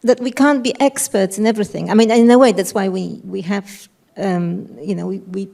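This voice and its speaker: A woman editor speaks on a live panel, hesitant and often trailing off mid-thought. Her voice is warm and dark-toned, with a noticeable gravelly fry. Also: medium pitch, fairly even intonation, normal speed. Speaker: independent publisher's editor.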